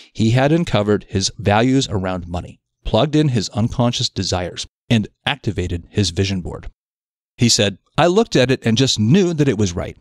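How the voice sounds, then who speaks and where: A man reading a scripted self-development article aloud in a measured, articulate, explanatory manner. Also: medium pitch, no echo, studio quality. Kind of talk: scripted narration of an audio article.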